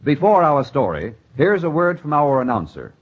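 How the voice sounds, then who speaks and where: A man announcing a 1940s radio drama in a theatrical, ominous, deliberate scripted style. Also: on the high side, big pitch swings. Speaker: announcer and host of the show. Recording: muffled, very noisy 1940s radio recording.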